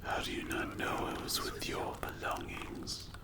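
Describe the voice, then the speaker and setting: Menacing demon, played by a man, condescending, breathy whisper, very slow delivery, for dark ASMR roleplay. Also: echoey room, close to an everyday voice. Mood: suspicious, probing. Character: menacing, condescending sleep paralysis demon toying with captive.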